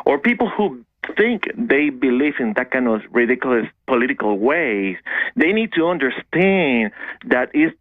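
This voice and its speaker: A man with a Venezuelan Spanish accent calls in over a tinny, narrow phone line that sounds dry and close. He speaks fervently and emphatically, in long run-on phrases, at an ordinary male pitch. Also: no room sound. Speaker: caller. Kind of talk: call-in radio, passionate political rant. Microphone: telephone.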